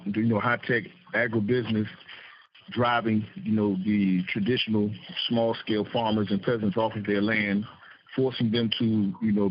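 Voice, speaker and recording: A man leading a political discussion, explaining in a halting, didactic way. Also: fairly low pitch, highs cut off, slight background noise. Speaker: discussion host.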